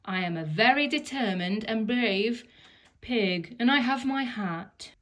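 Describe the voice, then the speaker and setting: A woman's voice: fairly high pitch, animated, unhurried, a bit bright, voice pushed up. A woman voicing a vain, determined little boy pig in a storybook, with a boastful manner. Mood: proud.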